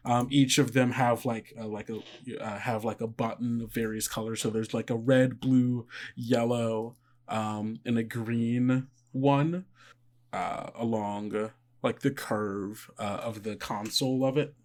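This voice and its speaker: A male game master describes a scene in a casual, improvised way. His mid-pitched voice halts and picks up again as he builds each image piece by piece. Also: steady tone, darkish tone. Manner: halting, filler-heavy scene description.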